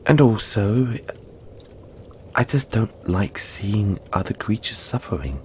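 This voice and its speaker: A man voicing a gentle, friendly young yeti in an intimate audio roleplay, speaking softly and reassuringly, with halting, breathy phrasing. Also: on the low side, big pitch swings, slight background noise. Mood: friendly, earnest. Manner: soft-spoken, reassuring, halting, often breathy near-whisper.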